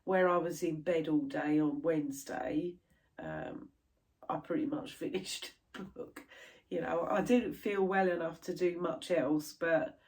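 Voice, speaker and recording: Chatty British woman, stuffy croaky voice. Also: low-pitched, measured pace, a little mellow. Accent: British English. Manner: chatty, rambling, laughs easily. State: stuffy and croaky from a chest infection. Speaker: cross stitch vlogger. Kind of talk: casual chat to camera.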